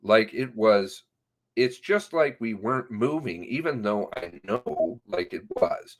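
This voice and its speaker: A man reading an interview transcript aloud in a halting way, sometimes breaking off with asides of his own. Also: lowish voice, lively intonation. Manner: halting, often breaking off to comment on the text.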